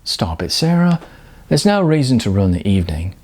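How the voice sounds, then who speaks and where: A man's voice: on the low side, sing-song, moderate pace, a little mellow. A man voicing an evasive, apologetic young boyfriend, a casual talent agent in a novel. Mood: mildly impatient.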